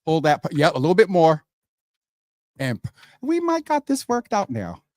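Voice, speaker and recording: A man's voice: fairly high pitch, huge pitch swings, moderate pace, darkish tone, dry recording, clean studio sound. A man hosting a live morning show with casual, teasing banter, often repeating himself and talking over his guest.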